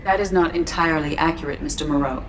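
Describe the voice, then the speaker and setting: An actress voicing a composed, precise female ship computer in a video game, formal and measured. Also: deep voice, fairly even intonation, slight background noise, low-quality recording. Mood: calm, cautioning. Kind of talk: video game character acting.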